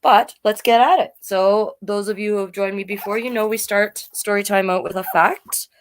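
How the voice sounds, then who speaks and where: A woman's voice: medium pitch, steady tone, normal speed, a little hiss or hum, poor audio. A woman hosting a children's storytime livestream, chatting casually and reading out facts, often getting sidetracked and correcting herself.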